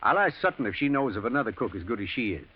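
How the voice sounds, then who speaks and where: An actor playing an anxious, respectable husband with a hesitant, proper manner, in old radio drama style. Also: male voice, medium pitch, sing-song, racing, natural voice. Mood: mildly concerned. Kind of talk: 1940s radio acting. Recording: muffled, noisy old radio broadcast.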